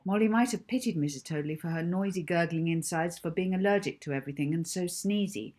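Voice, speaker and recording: A woman's voice: fairly low pitch, quick pace, darkish tone. A woman narrating a children's audiobook, reading scripted story prose expressively as its author.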